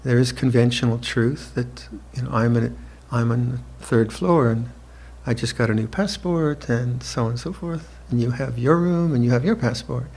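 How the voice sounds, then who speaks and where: A monk in his seventies gives an evening dharma talk, speaking slowly and reflectively with gentle restarts and soft laughter. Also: medium pitch. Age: 70s. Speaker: monk teacher. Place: retreat hall with listeners.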